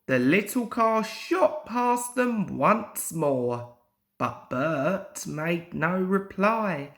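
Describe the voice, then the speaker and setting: A young man reading a children's story aloud in a deliberate, expressive storybook manner. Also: sits high. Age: young adult. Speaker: amateur storyteller.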